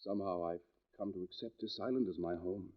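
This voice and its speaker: An actor playing a courteous, inquisitive and intense doctor in 1940s radio drama style. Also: male voice, low voice, a little fast. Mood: warm. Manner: formal, inquisitive, earnest. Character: courteous, intense male doctor writing about criminal minds. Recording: dull vintage 1940s radio recording.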